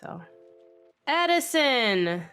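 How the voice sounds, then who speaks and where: A woman's voice: high-pitched, very animated, extremely slow, bright, forceful tone, clear, resonant tone, faint music behind. A woman hosting a live drawing stream, chatting casually, laughing often and swearing freely, a bit sniffly from a head cold. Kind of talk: casual chat while drawing.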